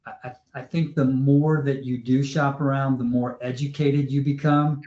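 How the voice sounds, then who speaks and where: A man moderating an online panel discussion. He talks in a relaxed way, thinking aloud with fillers and repeats. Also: mid-range voice, moderate pace. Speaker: webinar moderator. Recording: roomy, dull lo-fi audio.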